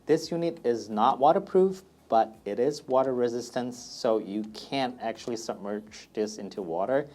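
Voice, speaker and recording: A man's voice: mid-range voice, moderate pace, hardly any pauses, darkish tone. A man presenting a product FAQ as a company presenter, explaining things plainly and step by step in a casual demonstration style.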